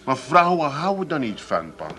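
An actor playing an exasperated, worldly-wise Amsterdam son in a comic radio play, talking with dry incredulity. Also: male voice, on the high side, very animated, normal speed, pushed and bright, slightly rough, heavily acted. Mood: lecturing.

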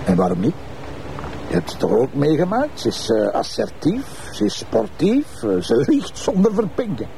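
Gravelly, teasing Flemish police commissioner. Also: male voice, a little high, huge pitch swings, moderate pace, mellow, a little hiss or hum, heavily acted. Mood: probing, wry. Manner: colloquial, bantering, needling. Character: brash, teasing, beer-loving Flemish male police commissioner. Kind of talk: naturalistic TV crime drama acting.

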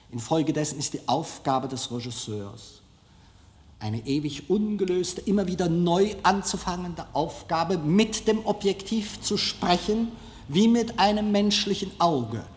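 A man giving a public lecture in a deliberate, halting way with dramatic pauses. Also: sits high, animated. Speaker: film director giving a lecture. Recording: echoey hall, long reverb.

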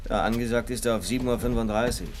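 An actor playing a polite married bank clerk tentatively courting a colleague in a 1970s German radio play. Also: male voice, medium pitch, fast talker, close to an everyday voice. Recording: noisy old recording, vehicle ambience.

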